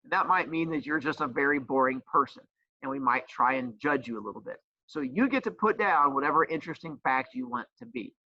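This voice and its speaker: A male teacher walks his class through homework, bouncing all over in pitch with jokes and mock outrage. His voice is bright and pushed forward. The recording is dry and a little dull. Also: no room sound. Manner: joking, playful teasing; says he has a loud voice. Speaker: teacher and school coach. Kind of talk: explaining a homework assignment.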